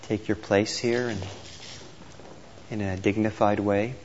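Low-voiced man guiding meditation, muffled noisy recording. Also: level tone. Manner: gentle, unhurried, with pauses. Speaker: meditation teacher. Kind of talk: guided meditation instruction to a group. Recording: dull lo-fi audio with clear background noise.

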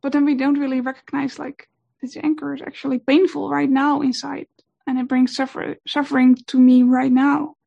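A woman whose first language is Dutch gives a gentle, unhurried talk on meditation, pausing often with fillers. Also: sits high, little pitch movement, vocal fry, dull audio. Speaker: meditation teacher.

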